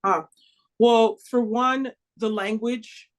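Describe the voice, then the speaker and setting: An American woman opera singer being interviewed, talking about her work in an earnest, warm and emphatic way. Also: on the high side, fairly even intonation, takes it slowly. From United States.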